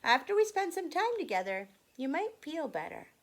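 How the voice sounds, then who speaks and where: A woman's voice: sits high, big pitch swings, moderate pace, voice pushed up. A woman voicing a friendly, reassuring anger creature that keeps a child company in a picture book.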